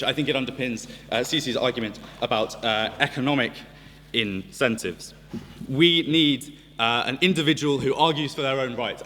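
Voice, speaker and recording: A man giving a measured, formal debate speech with frequent ums and uhs. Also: mid-range voice, fairly bright tone, a little hiss or hum. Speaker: debate speaker. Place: large debating chamber. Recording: reverberant debating chamber with audience.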